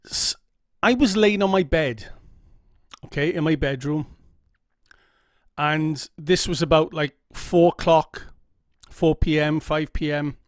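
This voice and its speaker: Welsh man, middle-aged, candid personal story, slightly high voice, dry room. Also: slow, long pauses, no room sound. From Wales. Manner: earnest, candid, repeats himself, checks in with "okay?". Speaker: vlogger. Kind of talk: personal story told to camera. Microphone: camera mic.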